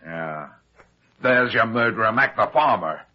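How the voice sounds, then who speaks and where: A man playing a heavyset, shrewd private detective in an old-time radio drama, brisk and commanding as he explains things. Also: fairly low pitch, expressive. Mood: assured, explaining. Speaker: lead actor. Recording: dull, lo-fi old radio recording.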